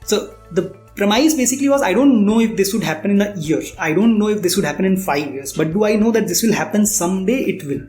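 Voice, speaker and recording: A man's voice: high voice, lively intonation, fast, hardly any pauses, darkish tone. Indian man explaining in a roomy space. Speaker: startup founder interviewed as guest. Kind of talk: conversational interview answers. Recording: roomy echo, faint background music.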